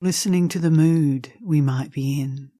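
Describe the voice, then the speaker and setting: A woman leading a guided meditation, speaking gently and softly in unhurried phrases with long pauses, with some breathiness. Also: sits low, no room sound, clean studio sound. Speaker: meditation teacher.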